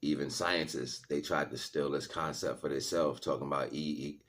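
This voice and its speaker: A man's voice: rumbling low, steady tone, normal speed, a bit bright. A man speaking casually to livestream viewers like a street preacher, in heavy slang, repeating phrases for emphasis. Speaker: livestream host and spiritual teacher.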